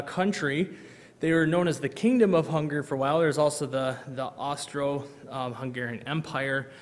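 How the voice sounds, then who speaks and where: A man in his thirties, a missionary giving a church presentation from the pulpit, earnest with many ums and uhs. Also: on the high side. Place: large church sanctuary, at a pulpit. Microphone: pulpit microphone, far camera. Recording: long reverb of a church hall.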